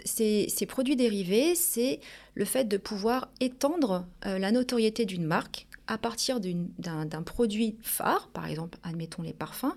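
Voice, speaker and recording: A woman, telling her career story as a guest in a lively way, with frequent hesitations. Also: medium pitch. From Paris region, France. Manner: lively, hesitant with many fillers. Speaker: company director, guest. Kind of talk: casual interview storytelling.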